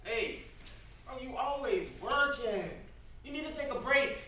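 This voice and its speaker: A man's voice: very high voice, a little slow, heavily acted. A man playing a brash, teasing younger brother just out of prison, in loose, playful street talk at a staged script reading. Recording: very echoey room from far off, loud noise.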